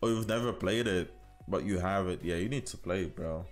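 Music plays behind a low-pitched man with a Haitian accent blended with Caribbean and American sounds. He talks casually to his livestream viewers while gaming, friendly and chatty. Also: fairly quick. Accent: Haitian with Caribbean and American influences. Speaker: game streamer. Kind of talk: casual livestream chat with viewers over gameplay.

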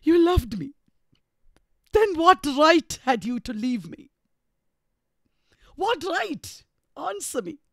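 A woman's voice: sits high, sing-song, takes it slowly, creaky, pauses often, a bit bright, dry recording, clean studio sound, pitched above the performer's own voice, full character voice. A woman reading the part of an anguished, savage, passionate male lover in an impassioned, accusing manner from a classic novel. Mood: accusing, heartbroken.

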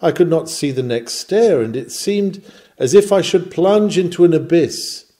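A man reading a scripted sermon aloud in an earnest, exhorting manner, with deliberate emphasis on key words. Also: on the high side, lively intonation, warm tone. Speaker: reader of a historic sermon.

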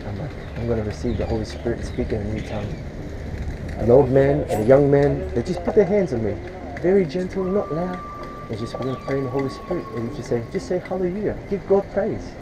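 A man preaching scripture outdoors to a passer-by, earnest and quick to pray in tongues, recorded on a chest-worn camera. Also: medium pitch, poor audio. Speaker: street preacher. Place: outdoors on a street beside a shopping centre car park. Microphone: chest-worn action camera.

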